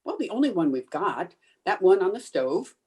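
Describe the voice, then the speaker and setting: A woman's voice: lowish voice, very animated, normal speed, darkish tone, husky. A woman playing a confident, scatterbrained housewife in breezy old-time radio comedy style, recorded at home over a video call. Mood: confident, daffy.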